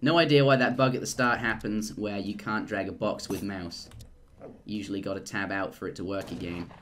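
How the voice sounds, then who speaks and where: A young man casting a live strategy game, rambling and jokey, and getting excited at big plays. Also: fairly low pitch, brisk, a little hiss or hum. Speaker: esports caster.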